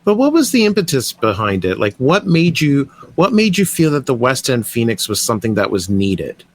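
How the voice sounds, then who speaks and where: A man's voice: medium pitch, huge pitch swings, normal speed. A man co-hosting a casual podcast interview, asking questions hesitantly and repeating words. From Canada. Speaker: podcast co-host.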